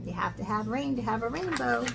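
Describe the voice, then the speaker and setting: A woman art teacher talking to young children in an expressive, encouraging way, as in a lesson or picture book read-aloud. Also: a little high, a little fast, creaky, noisy background. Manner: expressive, encouraging talk aimed at young children, with dramatic gasps.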